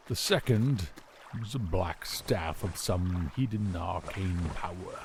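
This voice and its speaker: Guy acting as deep creaky old mentor type, formal and patient, fantasy audio drama, water sloshing behind. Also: a little mellow, a little hiss or hum, exaggerated voice. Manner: measured, scholarly, formal. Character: learned older mentor explaining ancient relics, patient.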